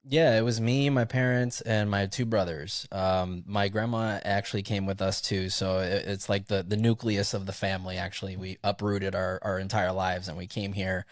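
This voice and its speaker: Thirties male guest from Puerto Rico, lower voice, relaxed and chatty, dry studio mic. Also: moderate pace, few pauses, dry recording. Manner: relaxed, chatty, laughs often, says "like" a lot. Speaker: podcast guest. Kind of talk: casual interview chat. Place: his own podcast studio. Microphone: remote recording over video call.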